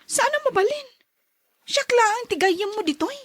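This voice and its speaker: A woman's voice: very high voice, lively intonation, moderate pace. A woman playing a jealous, possessive young woman in an audio drama, haughty and scolding.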